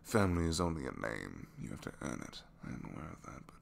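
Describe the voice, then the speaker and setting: Man acting a low-voiced, bashful captive supervillain. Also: very animated, gravelly, a little hiss or hum, heavily acted. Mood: defensive, musing. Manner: halting, self-deprecating, soft confiding delivery slipping into whispers. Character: captured young male supervillain, reluctantly evil, bashful and lovestruck. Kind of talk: ASMR romantic audio roleplay.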